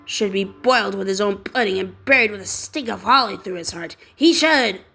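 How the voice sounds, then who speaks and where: A woman voicing a miserly, bitter old man, speaking in a scornful, clipped and loud way. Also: mid-range voice, fairly quick, faint music behind, lightly acted. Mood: stern.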